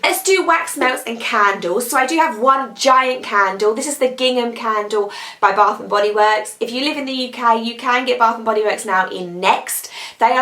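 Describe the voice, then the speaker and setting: A young British woman with a croaky voice from a cold, chatting casually as she reviews beauty products she has used up. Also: a little high, bright, forceful tone, roomy sound. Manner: chatty, rambling, upbeat. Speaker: beauty vlogger.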